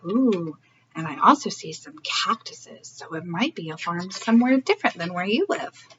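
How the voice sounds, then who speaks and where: A woman's voice: fairly low pitch, lively intonation, normal speed, a bit bright, muffled recording, a little hiss or hum. A woman hosting a storybook read-aloud for young children, asking questions and explaining as she goes. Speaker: early learning read-aloud host.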